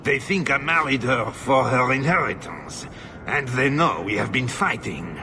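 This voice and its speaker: Game role: weary, defensive nobleman, bitter and self-pitying, dragging pace with gravelly fry. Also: medium pitch, expressive, measured pace, noisy background. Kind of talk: dramatic video game dialogue.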